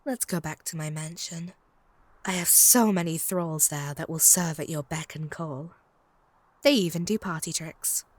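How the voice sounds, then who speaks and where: A woman's voice: sits low, a little slow, no echo. A woman playing a possessive, haughty female vampire in a comedic roleplay audio drama, taunting and doting in a theatrical way. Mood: doting, boastful.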